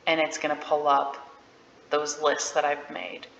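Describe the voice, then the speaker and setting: A woman giving a casual, candid software walkthrough in an informal, unscripted way. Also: sits low, monotone, unhurried, a little hiss or hum. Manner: informal, candid about not being an expert. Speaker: realtor giving a software tutorial. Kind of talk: casual screen-share walkthrough. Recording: dull, roomy-sounding audio.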